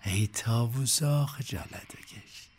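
A man voicing a middle-aged woman zoo director who talks slowly and softly, coaxing and firm. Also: mid-range voice, breathy edge, lightly acted. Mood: soothing. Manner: soft, slow coaxing, sometimes near whisper. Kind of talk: audiobook character voice.

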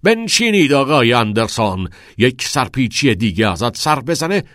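A man voicing a furious, domineering school headmaster who shouts commands in an audiobook. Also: mid-range voice, very animated, dry recording, clean studio sound. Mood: furious, shouting. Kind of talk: audiobook character voice.

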